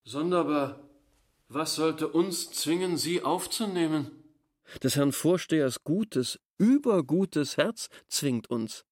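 An actor playing a pompous, grudging village schoolteacher in a literary radio drama, speaking in a pedantic, bureaucratic way. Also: male voice, fairly high pitch, lively intonation, slow pace, no echo, clean studio sound. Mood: indignant, grudging. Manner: pedantic, self-important, bureaucratic. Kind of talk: formal dramatized literary dialogue.

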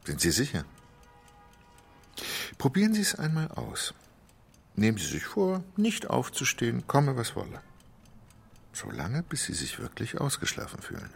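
An actor playing an insistent, evasive practitioner with shifting emphasis, in a radio drama. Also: male voice, medium pitch, huge pitch swings, moderate pace, vocal fry, pauses often, breathy edge, heavily acted. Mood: calm, suggestive. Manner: hesitant, insistent, swinging in emphasis. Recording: loud music underneath.

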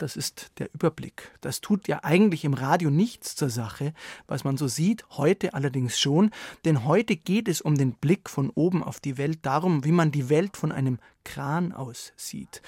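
A man's voice: fairly high pitch, a little mellow, dry recording, studio quality. A man presenting a radio programme from a script and putting questions to a guest. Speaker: radio host and interviewer. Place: radio studio. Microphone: studio microphone.

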